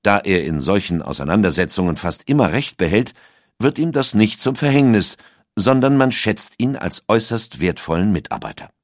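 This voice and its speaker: A man reading a documentary script as narrator, in a measured, even storytelling delivery. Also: low-pitched, no room sound, clean studio sound.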